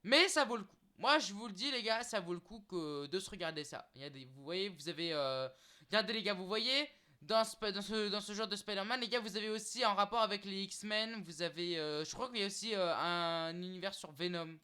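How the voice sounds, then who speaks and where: A young man with a high, bright voice chats loosely to camera, stumbling through restarts and filler sounds as he goes. His tone is clean and smooth, and he keeps turning to his viewers as "guys." The talk is unscripted. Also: a little fast. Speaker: YouTuber listing streaming titles. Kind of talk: casual unscripted vlog talk.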